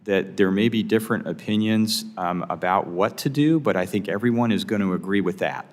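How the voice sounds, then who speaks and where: An American man with a deep voice gives formal expert testimony. He chooses his words diplomatically, in measured, halting phrases. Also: a little mellow. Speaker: airport management expert testifying as witness.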